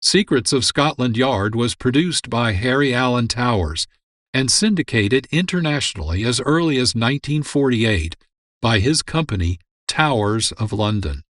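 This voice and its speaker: A man's voice: mid-range voice, fairly bright tone, no echo. Man hosting in a very dry studio. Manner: measured, documentary-like. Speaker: producer and host. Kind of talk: scripted narration.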